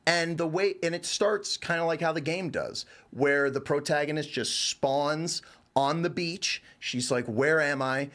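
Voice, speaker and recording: A man with a slightly high voice talks through a review in an informal way. He rambles conversationally and speaks in loose, everyday language. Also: measured pace, a bit bright. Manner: rambling, informal, swears casually. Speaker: reviewer on a show. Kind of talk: casual conversational review of an animated series.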